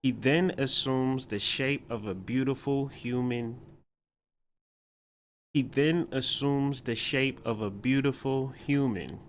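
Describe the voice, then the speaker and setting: A man's voice: medium pitch, slow pace, a little hiss or hum. An American man hosting a podcast, talking in a loose, slangy, stop-and-start monologue about spiritual ideas. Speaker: podcast host.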